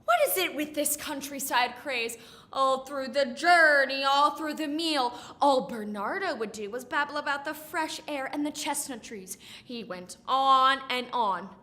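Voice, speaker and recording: Woman acting a fiery, high-pitched lovesick farce heroine. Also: measured pace. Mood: exasperated. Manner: exasperated, dramatic, emphatic, swinging to hushed. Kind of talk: theatrical comic monologue.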